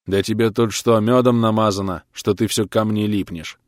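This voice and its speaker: A man voicing a grumpy, sarcastic retired engineer in an audiobook, with gruff, crude, grumbling speech. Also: low voice, fairly quick, no echo, studio quality, natural voice. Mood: irritated.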